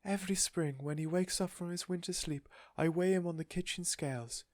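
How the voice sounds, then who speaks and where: A man's voice: high voice, a little fast, no echo, studio quality, pitched above the performer's own voice, lightly acted. A man voicing a sweet, gushing woman who dotes on her pet, in a storybook reading. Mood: fretting. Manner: gushing, effusive, exclaiming. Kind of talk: storybook character voice in audiobook reading.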